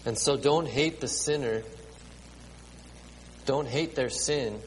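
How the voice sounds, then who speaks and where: A man in his late thirties preaching a sermon to a congregation with children, halting and repeating words, with many ums. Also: medium pitch. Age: 30s. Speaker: pastor. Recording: reverberant hall, faint ambient noise.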